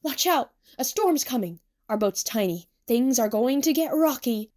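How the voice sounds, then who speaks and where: A woman's voice: high voice, lively intonation, measured pace, mellow, voice pushed up. A woman voicing a big-hearted, theatrical young man who plays a prince, dramatic and exclamatory. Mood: flustered, excited. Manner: dramatic, exclamatory, stammering when flustered.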